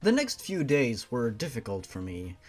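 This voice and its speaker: A man's voice: medium pitch, wildly swooping pitch, normal speed. A young man reading a fan-fiction story aloud, breaking off for sarcastic, profane commentary. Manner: sarcastic, swears freely, breaks off to mock the text.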